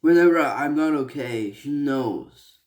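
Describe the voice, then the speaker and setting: A young man from Malaysia speaks slowly in a personal vlog. He talks earnestly, stopping and restarting often, padding his thoughts with fillers. His voice sits at an average pitch. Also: expressive. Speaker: vlogger.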